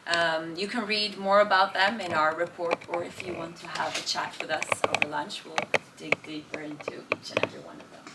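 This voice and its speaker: A woman from Stockholm, a student presenting project findings calmly and in a friendly way. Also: fairly low pitch, brisk. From Stockholm, Sweden. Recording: distant mic with clear noise.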